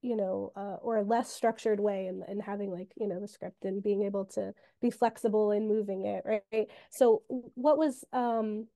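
Upbeat young woman moderator, mellow voice. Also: medium pitch, fairly even intonation, moderate pace, creaky, hardly any pauses, dry recording. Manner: enthusiastic, encouraging. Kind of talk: festival Q&A over video call. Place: room with old monitors, indoors. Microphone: video call.

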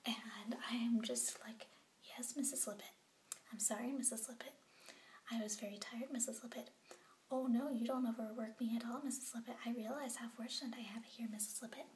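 A young woman playing an excitable orphan girl who secretly records a video diary at night, talking in a hushed whisper and rambling in bubbly bursts. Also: fairly high pitch, fairly quick, reverberant, a little hiss or hum, natural voice. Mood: whispered, giddy. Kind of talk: scripted web series vlog monologue.

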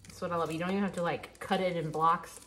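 A woman's voice: lowish voice, fast, a little hiss or hum. A woman hosting a home cooking video, chatting casually as she works at her kitchen counter. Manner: chatty, relaxed, talks while working with hands. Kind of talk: casual cook-along instruction. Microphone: overhead camera framing the counter. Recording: kitchen clatter of dishes and pans. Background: clinking dishes and pans.

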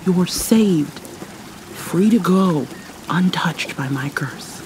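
A haunted vampire mermaid played by a woman speaks slowly and formally, dropping into whispers. She is prickly and weighed down by guilt, yet caring. It is an ASMR roleplay with faint watery noise behind her. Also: lowish voice, expressive, darkish tone. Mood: guarded, sharp. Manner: halting, formal, slipping into whispers. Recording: noisy ambience with water sounds.

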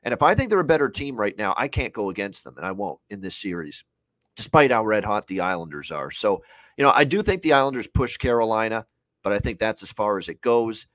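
Man, 30s, opinionated betting analyst, lowish pitch, casual talk, dry room-free sound. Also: big pitch swings, brisk, a bit bright, dry recording. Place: indoor room on a video call.

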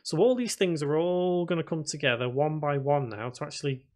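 A man with a slightly high voice presents a screen tutorial in a casual way. He talks through each step as he works, thinking aloud and addressing his viewers informally. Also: fairly quick, a little mellow. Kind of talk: casual software tutorial narration. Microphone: voice-over recorded at a computer.

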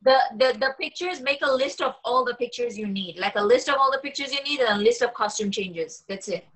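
Young woman, bright tone, slightly high, casual, emphatic, repetitive, video call. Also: expressive, brisk, rough recording. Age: young adult. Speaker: actor at a table read. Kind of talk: casual meeting talk. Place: indoor room, on a video call.

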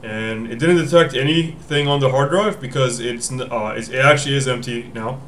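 A man's voice: medium pitch, a little fast, a bit bright, slight background noise. A young man hosting a casual tech tutorial from a home room, thinking aloud, often correcting himself as he explains the steps. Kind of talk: casual screen-recorded walkthrough.

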